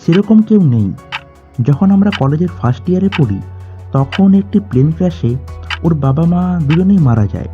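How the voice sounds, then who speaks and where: A man's voice: fairly high pitch, expressive, normal speed, close to an everyday voice. Man acting a guarded, curt middle-aged doctor, slightly muffled. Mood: sombre, matter-of-fact. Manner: clipped, formal, defensive. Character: curt, guarded doctor in his fifties, grieving a friend. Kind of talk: audio drama acting. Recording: slightly dull voice track under music. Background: background music.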